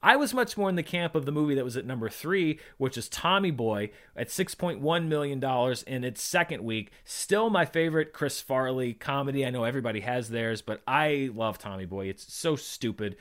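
A man's voice: mid-range voice, expressive, moderate pace, hardly any pauses, a bit bright. A man in his thirties hosting a show solo, talking through charts in a chatty, enthusiastic way with wry asides. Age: 30s. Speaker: podcast host recapping box office. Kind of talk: conversational solo commentary on movie charts.

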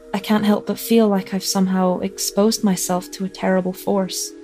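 A woman's voice: mid-range voice, warm tone, lightly acted. A woman narrating a horror story in the first person as an uneasy young mother. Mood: uneasy, reflective. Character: uneasy young mother recounting an eerie encounter. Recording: narration over background music.

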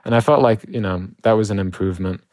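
A man's voice: on the low side, quick pace, a little mellow, no room sound. A young man, a student, speaking casually and reflectively in an interview. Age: young adult. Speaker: student documentary maker, interviewee. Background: music.

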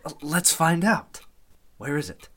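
A man's voice: on the high side, lively intonation, measured pace, fairly bright tone. An actor playing a suspicious, insistent man investigating a murder in a radio mystery, speaking with accusing intensity. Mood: hushed, insistent. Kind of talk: radio mystery drama in Golden Age manner.

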